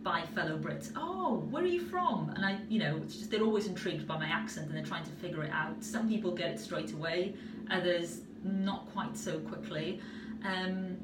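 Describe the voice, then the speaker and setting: Welsh valleys woman, low voice, rambly chatty answer, echoey room, mic far off, loud hiss. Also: lively intonation, normal speed. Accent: South Wales Valleys. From Wales. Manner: chatty, many fillers and restarts. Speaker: video answerer. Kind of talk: casual spoken answer. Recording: roomy echo, far from mic, loud noise.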